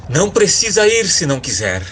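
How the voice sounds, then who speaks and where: A man playing a proud, worried old warrior king in an audio drama, solemn and dramatic with a husky voice. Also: a little high, sing-song, a little fast, background noise.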